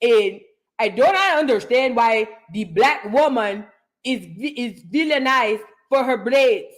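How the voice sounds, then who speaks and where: A woman putting on an African accent to mock a woman content creator, speaking slowly and over-carefully like a preachy lecture. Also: fairly high pitch, animated. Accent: put-on African. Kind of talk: parody impression.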